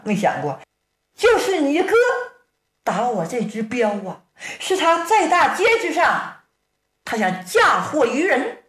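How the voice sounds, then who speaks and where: A woman voicing a calm, righteous young male hero who speaks in a measured, reasoning way. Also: a little high. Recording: roomy echo.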